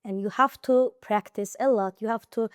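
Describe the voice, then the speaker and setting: A woman's voice: fairly high pitch, fairly even intonation, normal speed, smooth, dry recording, clean studio sound. A young woman from Azerbaijan with a Nakhchivan accent in English, an interview guest giving earnest study advice with frequent fillers and restarts. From Azerbaijan, Nakhchivan region. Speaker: interview guest, IELTS high scorer. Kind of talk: casual interview conversation.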